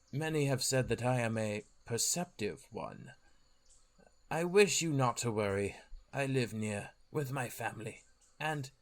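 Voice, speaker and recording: Man acting a gentle, courteous elf. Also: medium pitch, close to an everyday voice. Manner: measured, courteous, formal. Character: gentle, perceptive male elf offering humble service. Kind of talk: fantasy audio drama acting.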